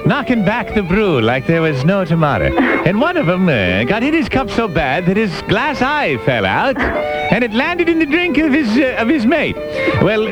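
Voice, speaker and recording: A man voicing a theatrical pub storyteller on the radio, spinning a bawdy comic tale with drawn-out, mock-dramatic delivery. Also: high voice.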